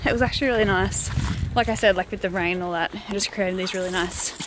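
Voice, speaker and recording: A woman's voice: mid-range voice, fast, background noise. A young Australian woman chatting casually to a handheld phone camera while out walking and driving. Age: young adult. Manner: chatty, lots of "like" and laughs. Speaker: travel vlogger. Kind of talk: casual travel vlog. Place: outdoors on forest and coastal walks, and in a car. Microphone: phone camera at arm's length.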